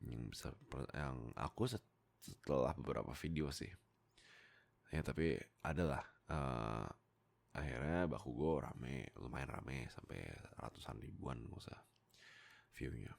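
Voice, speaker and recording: Low creaky young man chatting. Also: measured pace. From Indonesia. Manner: informal, self-deprecating, giggly. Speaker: amateur voice actor and content creator. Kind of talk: casual storytelling chat to followers. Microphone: close USB microphone.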